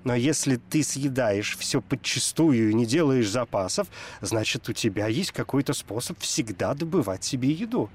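A man's voice: medium pitch, expressive, moderate pace, slightly rough. A man voicing a cool, mocking palm rat in a folk tale, arguing back without being bothered. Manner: mocking, unbothered, reasoning.

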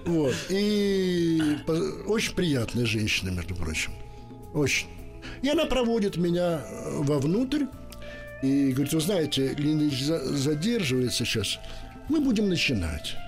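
Older guy from ukraine spinning a story, pitch jumping all over, kinda high voice, faint music under it. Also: moderate pace. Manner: lively anecdotal storytelling, acts out quoted voices. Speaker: interpreter, interview guest. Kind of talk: storytelling in a radio interview.

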